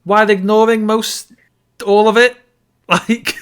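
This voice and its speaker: A man's voice: sits high, flat delivery, takes it slowly, fairly bright tone, clear, resonant tone. A man co-hosting a casual film discussion, giving his opinions and repeating points for emphasis. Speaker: podcast co-host.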